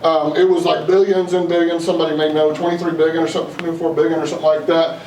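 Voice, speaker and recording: A man's voice: fairly high pitch, little pitch movement, rapid-fire, darkish tone. A man from East Texas with a Texan accent, giving a live investment seminar and throwing rhetorical questions at the room in a folksy way. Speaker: investment speaker. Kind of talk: live seminar talk to an audience. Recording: roomy, distant, faint noise.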